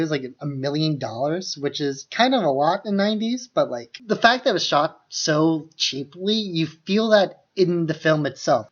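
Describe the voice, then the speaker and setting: The recording is slightly dull and lo-fi. A man with a somewhat high voice co-hosts a film podcast. His talk is casual and informal, with a lot of "like" in it. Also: a bit bright. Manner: informal, hedging with many "like"s. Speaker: podcast co-host.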